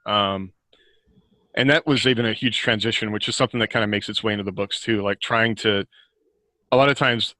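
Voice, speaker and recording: A man's voice: on the low side, fairly even intonation, fairly quick, sharp tone, dry recording. A middle-aged man talking casually and reflectively as an author on a podcast, often revising his sentences as he goes. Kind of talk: casual reflective conversation about writing and life.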